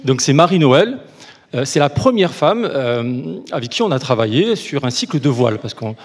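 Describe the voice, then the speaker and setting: Husky man telling a moving story. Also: medium pitch, very animated, normal speed. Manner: earnest, hesitant, emotional build. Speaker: association worker receiving prize. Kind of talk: storytelling on stage. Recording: reverberant hall.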